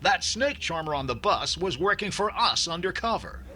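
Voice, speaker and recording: A man playing a high-voiced, pompous police inspector. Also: expressive, normal speed. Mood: smug, droll. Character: pompous, droll police inspector, quizzing witnesses. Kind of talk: old-time radio comedy acting. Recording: very noisy recording.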